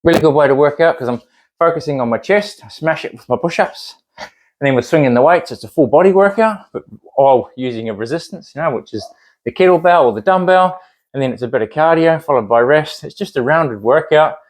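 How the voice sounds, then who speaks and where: A man's voice: fairly high pitch, lively intonation, brisk. A man with a New Zealand accent demonstrating a home workout, chatting encouragingly and counting reps aloud while he exercises. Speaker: fitness instructor.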